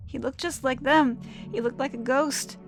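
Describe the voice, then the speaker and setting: Frightened wife, acted by a woman, high tearful voice, halting, panicky, horror audio story, music behind. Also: steady tone. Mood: panicked, tearful. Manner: panicky, halting, repeating herself. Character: frightened, tearful young country wife Maureen.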